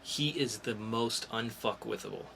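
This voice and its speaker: A man's voice: medium pitch, measured pace, vocal fry, bright, forceful tone, a little hiss or hum, rough recording. A man hosting a podcast, talking casually about geek news in an informal, explanatory way with some swearing. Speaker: podcast host.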